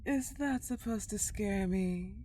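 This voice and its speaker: A woman playing a dark, possessive older vampire countess in an intimate ASMR roleplay, teasing and condescending as she lingers on her words. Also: medium pitch, slow pace, a little hiss or hum, close to an everyday voice. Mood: haughty, amused.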